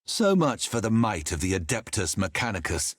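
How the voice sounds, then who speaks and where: A man's voice: on the low side, very animated, normal speed, no echo, clean studio sound. An actor playing a haughty, stern inquisitor in a video game, formal and accusing in his delivery. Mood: scornful, stern. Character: stern, scornful male inquisitor. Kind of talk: video game cutscene acting.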